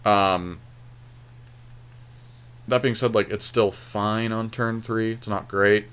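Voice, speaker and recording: A man's voice: lowish voice, steady tone, slow pace, a bit bright, smooth. A man co-hosting a podcast, talking casually and thinking aloud as he comments on a card game draft. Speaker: podcast co-host.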